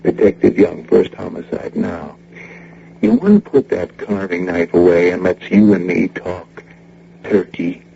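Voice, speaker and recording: Gravelly, streetwise police detective. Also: male voice, low-pitched, lively intonation, measured pace, mellow, slight background noise, full character voice. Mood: cocky, calming. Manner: colloquial, hesitant then cocky. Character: streetwise, wisecracking male New York police detective. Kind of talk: radio drama acting. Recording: dull, humming old radio broadcast recording.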